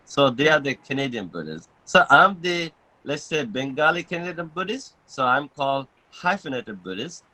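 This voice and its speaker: A man's voice: mid-range voice, very animated, normal speed, pushed and bright, rough recording. A man who is a Buddhist monk, born in Bangladesh, talking casually with many filler sounds and chuckling at his own jokes. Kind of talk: conversational talk with fillers.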